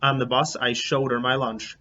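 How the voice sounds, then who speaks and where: A man reading a children's book aloud in an animated, expressive way, as a teacher would. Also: mid-range voice, moderate pace. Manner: expressive, acts out dialogue. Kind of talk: animated storybook read-aloud. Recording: dull lo-fi, clear background noise.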